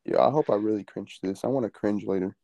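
A man's voice: lowish voice, fast talker, gravelly, dark tone. A young man in a laid-back chat with friends, laughing along with the banter. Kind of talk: casual chat among friends.

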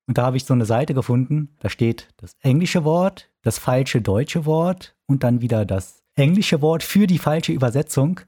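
A man's voice: medium pitch, dark tone. A man co-hosting a podcast in casual chat, with dry, self-deprecating humor. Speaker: podcast co-host.

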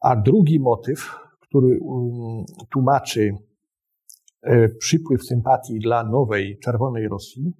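A man's voice: medium pitch, takes it slowly, warm tone, slightly rough, rough recording. A man speaking as a guest historian, explaining in formal but hesitant phrases. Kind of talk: explanatory interview talk.

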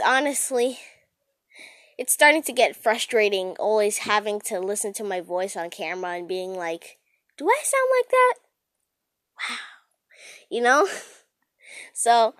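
A woman's voice: high voice, fairly bright tone, dry recording, clean studio sound. A young woman chatting casually and a little giggly as a first-time podcast host, self-deprecating and given to dramatic emphasis. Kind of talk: casual rambling solo chat.

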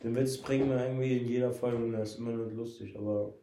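A man's voice: medium pitch, steady tone, fast talker, reverberant, background noise. A young man co-hosting a casual podcast chat, tired and joking, sometimes mumbling. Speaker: podcast co-host.

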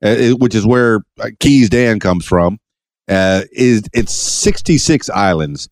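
Podcast host, a man from Miami; lowish voice that swings up and down, rambling and playful, dry close sound. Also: animated, a little slow, no echo. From Miami, USA. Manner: rambling, stammering, playful questions. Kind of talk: casual interview.

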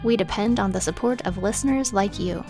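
A woman's voice: medium pitch, fairly quick, dark tone. A woman hosting a podcast, reading a scripted announcement to listeners. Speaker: podcast host. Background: guitar music.

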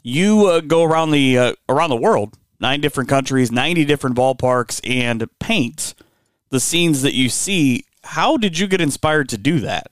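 A man's voice: medium pitch, lively intonation, moderate pace, clean tone, no room sound, studio quality. A man hosting a sports podcast, chatting in an easygoing, conversational way. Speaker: sports radio host.

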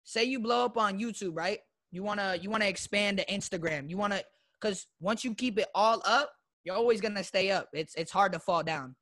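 A man's voice: high voice, a little fast, pushed and bright, no echo, studio quality. A young man from Florida, a guest YouTuber, talking in a loose, slangy way with lots of fillers. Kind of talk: casual interview chat.

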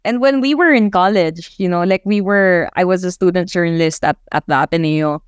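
A woman's voice: on the low side, a little fast, clear, resonant tone, no room sound, studio quality. A woman in her twenties with a Filipino accent, answering interview questions as a journalist in a reflective, conversational way, sometimes mixing Tagalog into English. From Philippines. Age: 20s.

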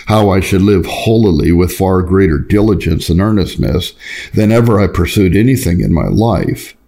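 A man narrating an old devotional text as a scripted reading, in a measured, earnest and formal manner. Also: low voice, lively intonation, gravelly, warm tone. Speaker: audiobook narrator.

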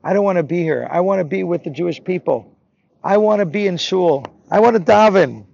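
A man's voice: sits high, brisk, creaky, a little mellow, no echo, pitched above the performer's own voice. A man voicing an earnest, plaintive young boy in a spoken religious folk tale. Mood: tearful pleading. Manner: plaintive, exclaiming. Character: earnest pleading illiterate orphan boy. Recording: noisy dull recording.

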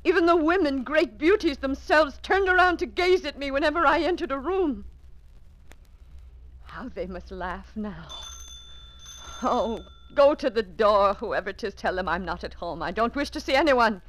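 Melodramatic, vain older widow, sighing and imperious; mid pitch, radio-play delivery. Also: female voice, lively intonation, a little slow. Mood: anguished. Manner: theatrical, breathy sighs, swings between despair and command. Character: vain aging Parisian widow, melodramatic, grieving her lost beauty. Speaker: lead actress.

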